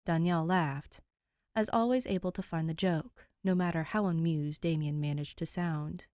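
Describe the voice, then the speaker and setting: A woman reading fan fiction aloud and chatting to listeners in an expressive way. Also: on the low side, normal speed, no echo, clean studio sound. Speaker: fan fiction podfic reader. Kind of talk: story narration and casual chat to listeners.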